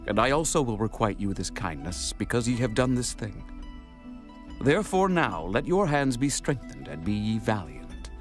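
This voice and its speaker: A man's voice: mid-range voice, big pitch swings, normal speed, soft background music, close to an everyday voice. Man as a grieving warrior king. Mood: solemn. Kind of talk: dramatized scripture reading.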